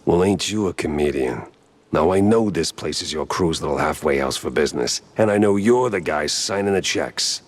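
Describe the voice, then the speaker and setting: A man with an American accent voicing a world-weary, stubborn mouse private eye in a cartoon noir game, gruff and sardonic. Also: lively intonation, moderate pace. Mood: menacing. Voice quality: deep, husky.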